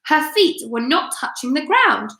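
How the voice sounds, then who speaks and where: Bright, echoey woman teacher, encouraging and expressive. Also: sits high, unhurried. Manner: expressive, encouraging, addresses viewers directly. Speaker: teacher hosting live children's story time. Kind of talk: chatty live read-aloud for children. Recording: echoey room.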